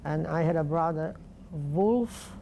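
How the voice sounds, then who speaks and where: An older man from Poland, speaking slowly and deliberately with pauses in an oral history interview. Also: on the high side, gravelly, mellow, slight background noise, poor audio. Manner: slow, deliberate, halting with pauses.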